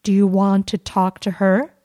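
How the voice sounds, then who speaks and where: A woman's voice: mid-range voice, little pitch movement, measured pace, dark tone, dry recording, studio quality, lightly acted. A woman reading a friendly young woman on the phone. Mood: casual. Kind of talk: scripted dialogue reading.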